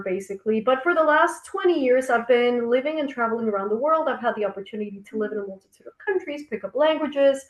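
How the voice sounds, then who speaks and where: Woman from Costa Rica, fairly high voice, reflective, rephrases as she goes, video call, echoey room. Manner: reflective, circling back to rephrase. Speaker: co-founder of a travel company, guest. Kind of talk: casual video-call conversation.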